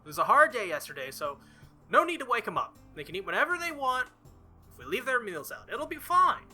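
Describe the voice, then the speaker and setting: A man's voice: very high voice, very animated, a little fast, pushed and bright, faint music behind, pitched above the performer's own voice, exaggerated voice. A man voicing an earnest, flustered teenage visual novel hero in a hesitant, stammering, insistent way.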